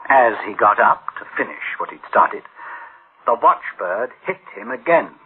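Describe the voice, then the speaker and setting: An actor playing an anxious senior official in a radio play, speaking gravely and haltingly with theatrical delivery on a tinny recording. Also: male voice, mid-range voice, big pitch swings. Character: anxious senior official alarmed by runaway machines. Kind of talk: dramatic radio play acting.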